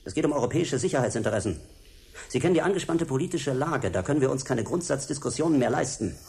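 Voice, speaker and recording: An actor playing a stern, threatening European secret service agent in a radio drama, curt and commanding. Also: male voice, medium pitch, animated, quick pace, gravelly, darkish tone. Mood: cold, pressing. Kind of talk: German radio drama acting.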